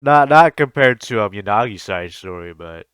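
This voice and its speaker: Low, clear-voiced man reacting casually. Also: lively intonation, moderate pace, a bit bright, no room sound. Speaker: streamer reacting to a game story.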